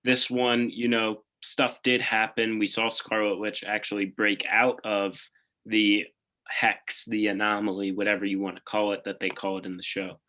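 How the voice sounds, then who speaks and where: A man with a low voice and a bright, forceful edge, co-hosting a podcast. He talks casually and thinks out loud, hedging as he goes. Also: little pitch movement, normal speed. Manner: thinks aloud and hedges often. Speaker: podcast co-host. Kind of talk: casual chat.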